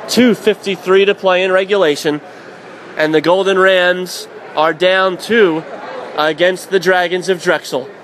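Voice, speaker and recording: A man calling radio play-by-play of a hockey game, climbing to shouts on shots and saves. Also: high-pitched, fairly even intonation, a little slow, slight background noise. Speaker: play-by-play announcer.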